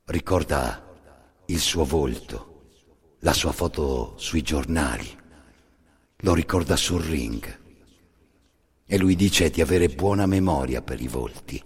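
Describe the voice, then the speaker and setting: A man performing scripted dramatic storytelling as a solo narrator, grave and deliberate, in short phrases with pauses. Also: a bass voice, animated, husky. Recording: long reverberant hall.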